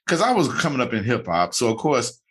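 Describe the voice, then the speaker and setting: A man's voice: medium pitch, big pitch swings, fairly quick, breathy edge. A man hosting an interview, talking in a casual, rambling way with many fillers and restarts. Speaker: podcast host.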